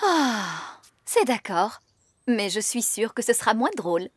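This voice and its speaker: A woman's voice: fairly high pitch, very animated, measured pace, slight background noise. A woman voicing a grumbling, bossy fairy nanny in a children's cartoon, huffy and haughty.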